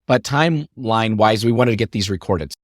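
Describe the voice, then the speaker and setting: A man hosting a podcast in a chatty, upbeat monologue full of rambling asides, his voice rough and lower than usual after a recent cough, speaking into a headset mic. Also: brisk, no room sound, studio quality. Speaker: podcast host.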